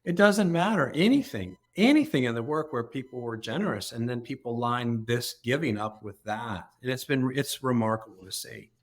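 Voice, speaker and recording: A man's voice: mid-range voice, animated, normal speed, hardly any pauses. A man, an organ donation executive, explaining earnestly as an interview guest, often repeating words as he starts a thought.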